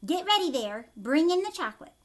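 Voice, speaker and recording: A woman voicing an excitable, bossy male chocolate inventor in a children's read-aloud, exclaiming emphatically like a showman. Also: very high-pitched, a little fast, voice pushed up. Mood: commanding.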